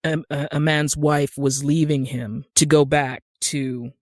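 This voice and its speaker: A woman's voice: low-pitched, fairly even intonation, unhurried, no echo, studio quality. A middle-aged American woman telling stories conversationally and emphatically as an interview guest. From Utah, United States. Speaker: podcast guest, screenwriter and actor.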